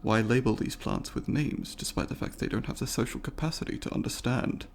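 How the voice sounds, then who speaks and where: A man voicing a curious, courteous alien researcher in an ASMR roleplay, speaking formally and deliberately, with academic wording and a gravelly voice. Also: medium pitch, natural voice. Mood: earnest, puzzled. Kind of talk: ASMR roleplay, scripted monologue to the listener.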